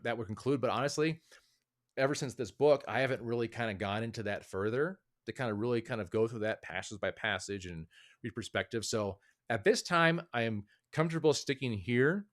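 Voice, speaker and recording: A man's voice: medium pitch, fairly quick. Thoughtful man talking it through. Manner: thoughtful, hedging, many fillers. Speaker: author and guest. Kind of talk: casual interview talk.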